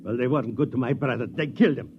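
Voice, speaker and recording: An actor playing a hate-filled, brutish prisoner in a 1950s radio drama, blunt and threatening. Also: male voice, medium pitch, fast talker, close to an everyday voice. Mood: vengeful rage. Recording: muffled, noisy 1950s radio recording.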